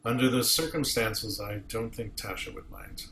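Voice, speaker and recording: A man reading the part of a commanding starship captain in a table read, arguing deliberately and rhetorically as a defence advocate. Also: fairly low pitch, fairly bright tone. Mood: measured, probing. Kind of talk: amateur script table read. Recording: roomy echo with clear background noise.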